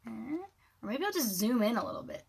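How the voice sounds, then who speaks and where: A woman in her thirties with a scratchy voice, rambling casually on a livestream from her dark room at home, with lots of ums and little laughs. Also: a little high, big pitch swings, quick pace, gravelly, breathy edge. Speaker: author and livestream host. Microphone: webcam livestream.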